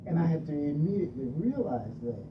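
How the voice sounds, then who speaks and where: A woman's voice: sing-song, brisk. A middle-aged woman hosting a radio discussion on marriage, reading from a handout and laughing between points. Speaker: radio show host. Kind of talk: leading a group discussion on marriage. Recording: distant muffled recording with rumble.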